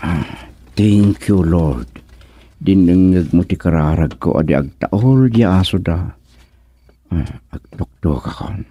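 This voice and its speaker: A man's voice: deep voice, lively intonation, slow pace, warm tone, full character voice. A man acting a bashful, nervous young suitor in an Ilocano radio comedy, stammering and exclaiming with an Ilocano accent. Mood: nervous, praying. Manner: stammering, exclaiming, muttering anxiously to himself.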